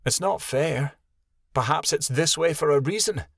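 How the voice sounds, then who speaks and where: A man's voice: fairly high pitch, normal speed, creaky, dry recording, studio quality, voice pushed up. A man voicing a stern motherly she-wolf. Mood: comforting.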